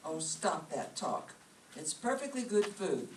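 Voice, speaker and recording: A woman's voice: fairly low pitch, big pitch swings, a little slow. A woman playing a nagging, exasperated wife in an old-time radio comedy staged live. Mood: exasperated, scolding. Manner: scolding, exasperated. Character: nagging, put-upon wife of a bickering couple. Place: live stage performance before an audience. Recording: roomy, distant mic, faint noise.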